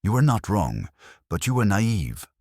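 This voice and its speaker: A man playing a stout, older detective who speaks in precise, formal, measured sentences. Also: fairly low pitch, very animated, darkish tone, breathy edge, dry recording, clean studio sound, pitched above the performer's own voice, full character voice.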